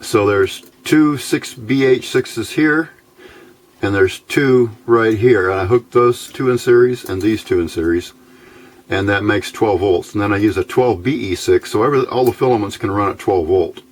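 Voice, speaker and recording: A man's voice: fairly low pitch, moderate pace. A man giving an informal hands-on technical explanation as an electronics hobbyist, in a plainspoken, folksy, methodical way.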